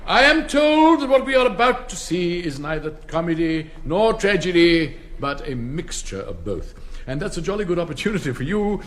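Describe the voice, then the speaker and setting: An older actor playing a fussy, pompous headmaster, hesitant and theatrical, with an upper-class English accent. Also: male voice, fairly high pitch, expressive, moderate pace, slight background noise, close to an everyday voice. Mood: pompous, dithering. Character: dithering, pompous elderly headmaster addressing parents. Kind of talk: theatrical radio drama acting.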